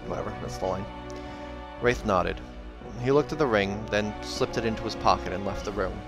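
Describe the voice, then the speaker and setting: A man's voice: lowish voice, normal speed. A man hosting a read-aloud of a fantasy novel, mixing narration with casual reactions. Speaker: reader and host. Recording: music behind the voice.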